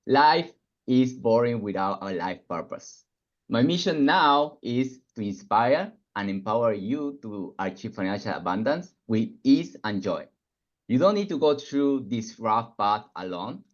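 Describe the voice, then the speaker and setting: A man with an accent that blends Spanish and Japanese talks through a webinar in an earnest, measured way. His voice sits at an ordinary pitch for a man. The video call audio sounds a little muffled. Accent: mixed Spanish and Japanese. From Argentina and Japan. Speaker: financial coach, webinar speaker. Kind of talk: webinar self-introduction and commentary.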